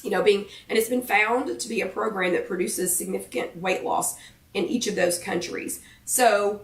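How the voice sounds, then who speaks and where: An American woman with a hoarse, failing voice, chatting casually and apologetically as she hosts a livestream. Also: mid-range voice, steady tone, moderate pace. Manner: chatty, apologetic, self-deprecating. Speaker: host of a live weight-loss chat. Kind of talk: casual livestream chat greeting viewers. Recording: roomy echo, distant microphone.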